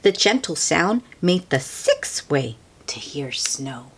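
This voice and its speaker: A woman reading a picture book aloud to children, expressive and sometimes hushed, with simple asides to her listeners. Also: low-pitched, a little hiss or hum. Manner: slow, expressive, hushed at times, explains words simply.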